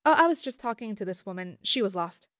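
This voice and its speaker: A woman's voice: medium pitch, lively intonation, quick pace, a bit bright, dry recording, studio quality, lightly acted. A woman acting a worried, friendly schoolgirl in an audio drama, earnest in manner. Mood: nervous explaining.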